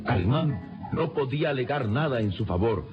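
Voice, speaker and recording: A man's voice: mid-range voice, expressive, normal speed, over music. A man narrating a scripted radio serial in a solemn, theatrical style. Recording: muffled lo-fi radio recording.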